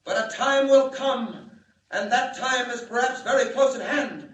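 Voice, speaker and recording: A solemn alien scientist foretelling doom in an old radio play. His voice is strikingly high, earnest and declamatory, ringing in heavy echo at a distance from the microphone. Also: measured pace. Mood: bitter, pleading. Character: grave, urgent male alien scientist warning of doom. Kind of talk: 1940s radio drama acting. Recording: echoey, distant 1940 radio broadcast.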